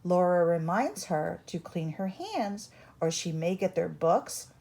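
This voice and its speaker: A woman reading a children's picture book aloud as a storyteller, with deliberate, instructive read-along phrasing for young listeners. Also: lowish voice, lively intonation, gravelly, mellow.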